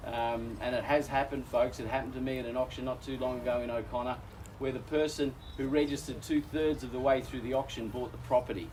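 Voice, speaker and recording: A man's voice: medium pitch, steady tone, fairly quick, a little mellow, distant mic, slight background noise, rough recording. An Australian man working as an auctioneer, speaking genially to a crowd outdoors at a house auction, his voice weakened by a recent chest infection. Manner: genial, folksy, addresses crowd as folks. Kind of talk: live outdoor auction address.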